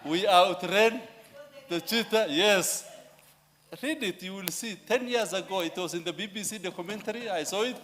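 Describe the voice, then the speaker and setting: A man addressing parliament with an animated, anecdotal floor speech and loud bursts. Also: high voice, normal speed. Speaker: member of parliament. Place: large parliamentary chamber. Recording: chamber echo with faint hum.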